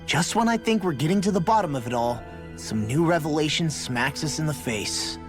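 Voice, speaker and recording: A man plays a young, scrappy fighter in a game cutscene. His voice is on the high side, blunt and punchy, with faint music underneath. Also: expressive, moderate pace, lightly acted. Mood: frustrated, determined. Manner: plain-spoken, punchy. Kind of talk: scripted video game voice acting.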